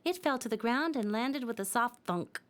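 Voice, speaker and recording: Animated, high-voiced woman storyteller. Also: normal speed. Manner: clear, simple, expressive storytelling. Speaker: storybook narrator. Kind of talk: scripted children's story narration.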